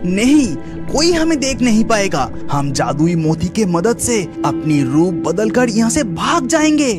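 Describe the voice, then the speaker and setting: A woman's voice: mid-range voice, sing-song, a little slow. A woman voicing an earnest, urgent young merman prince in a cartoon. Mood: urgent, reassuring. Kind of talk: cartoon character acting. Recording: music behind the voice.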